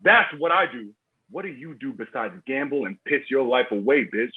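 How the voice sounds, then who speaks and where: A man's voice: mid-range voice, animated, normal speed, breathy edge. A man acting a menacing, cocky drug dealer boss in a screenplay table read, taunting and foul-mouthed. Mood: smug, taunting. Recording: muffled phone-like line, music behind.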